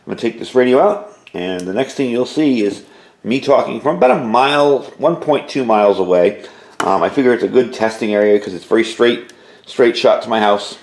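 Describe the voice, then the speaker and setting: A man's voice: medium pitch, big pitch swings, moderate pace, darkish tone. A man giving a casual, informal product review, explaining details as he goes, recorded indoors at home. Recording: roomy indoor echo.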